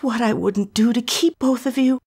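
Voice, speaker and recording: A woman's voice: a little high, moderate pace, a little mellow, breathy edge. A woman acting a tender, sorrowful motherly figure in an audio drama, speaking breathily and with emotion.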